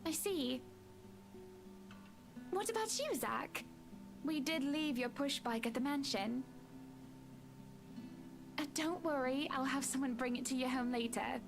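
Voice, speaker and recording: Actress as rich, genteel socialite wife, high voice, sweetly bossy, visual novel drama over music. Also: female voice, animated, unhurried, creaky, long pauses, exaggerated voice. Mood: gracious. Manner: polite, affectionate, genteel. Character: gracious wealthy married woman, sweetly bossy socialite.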